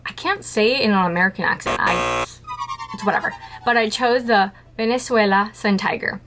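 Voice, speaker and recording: A woman's voice: mid-range voice, brisk, poor audio. Chatty woman vlogger over background music. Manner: chatty, playful, asks and answers her own questions. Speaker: tarantula keeper vlogger. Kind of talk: casual scripted talk to camera.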